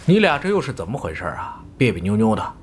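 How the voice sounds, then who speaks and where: A man voicing a genial, wily middle-aged police bureau chief with a folksy, jovial manner in an animated crime drama. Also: fairly low pitch, fast, slight background noise. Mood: avuncular. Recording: faint background ambience.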